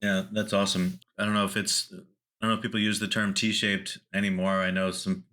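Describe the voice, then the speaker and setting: Bright-voiced, low-pitched male podcast host. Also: level tone, brisk. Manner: loose and rambling, many fillers and restarts. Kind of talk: conversational podcast interview.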